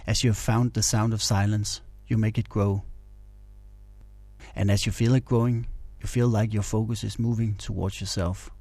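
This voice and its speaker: Low, even-voiced man guiding a meditation. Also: dry recording. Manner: calm, measured, instructional. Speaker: guided meditation instructor. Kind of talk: slow guided meditation narration.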